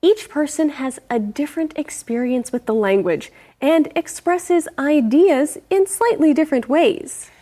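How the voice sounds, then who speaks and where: Woman presenter, high voice, scripted read. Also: lively intonation, a little slow, few pauses, mellow. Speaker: segment presenter. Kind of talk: scripted narration.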